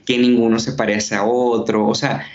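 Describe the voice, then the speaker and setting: A man's voice: medium pitch, little pitch movement, normal speed, dull audio. Man explaining like a teacher. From Venezuela. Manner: earnest, teacherly, many fillers. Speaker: journalist and university teacher. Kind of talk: explanatory interview answers.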